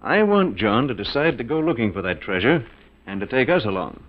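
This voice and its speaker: Scheming male attorney, medium pitch, measured and urbane. 1940s radio thriller, lo-fi recording. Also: sing-song. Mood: hushed, scheming. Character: scheming, smooth-talking male lawyer, calculating and skeptical. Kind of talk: 1940s radio mystery acting.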